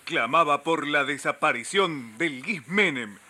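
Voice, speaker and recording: A man's voice: on the high side, expressive, measured pace, sharp tone, heavily acted. A man acting a solemn, formal, melodramatic narrator and official in an amateur radio play, declaiming a script. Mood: solemn, dramatic.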